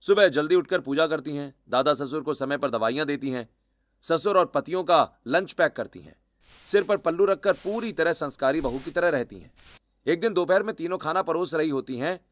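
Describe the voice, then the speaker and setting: A man's voice: on the high side, brisk, dry recording. A man narrating a scripted cartoon family story.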